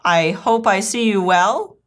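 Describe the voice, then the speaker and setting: A woman's voice: medium pitch, a little slow, pitched above the performer's own voice, natural voice. A woman voicing a prim, houseproud lady cat hostess with fussy, genteel courtesy in a storybook reading. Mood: effusively polite.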